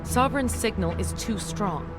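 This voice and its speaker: A woman's voice: mid-range voice, lively intonation, normal speed, over loud music, natural voice. An actress playing a frightened, pleading neurospecialist in a video game, earnest and anxious as she explains herself. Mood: uneasy, explaining. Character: frightened, pleading female neurospecialist working for a villain. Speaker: scientist character. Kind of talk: dramatic video game acting.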